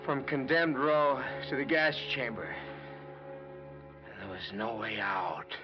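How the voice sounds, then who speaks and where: A desperate, scheming killer in a vintage American radio noir. His mid-pitched voice broods in short, hard-boiled phrases. The recording is old and muffled, with clear music underneath. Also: animated, slow. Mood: brooding, tense. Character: desperate, scheming guilty man narrating his own crime. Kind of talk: 1940s-50s American radio noir acting and narration.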